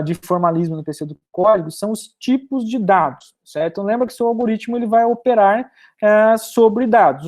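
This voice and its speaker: A woman giving a recorded university programming lecture, explaining step by step and often pausing to check that students follow. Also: mid-range voice, darkish tone.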